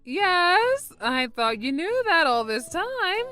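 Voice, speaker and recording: A cheerful cartoon mother, voiced by a woman talks in a bright, high voice and takes her time. She is playful and teasing, breezy as can be, in the style of an anime romcom dub. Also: sing-song, slow pace, soft background music, exaggerated voice.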